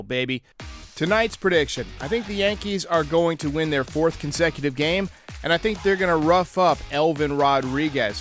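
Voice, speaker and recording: A man's voice: on the high side, fairly bright tone. A man hosting a baseball fan show, delivering sports commentary and previews. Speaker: host of a baseball fan channel.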